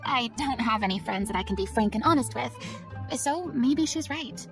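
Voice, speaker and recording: Young ex stage idol, high voice, dramatic but fragile, formal wording, game cutscene w music. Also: female voice, expressive, moderate pace. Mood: wistful, hesitant. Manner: theatrical, formal phrasing. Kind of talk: video game voice acting.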